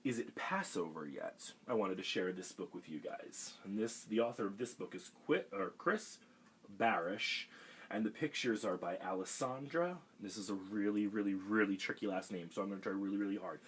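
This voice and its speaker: A man reading a picture book aloud for a children's storytime, animated and expressive. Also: fairly low pitch, moderate pace, husky.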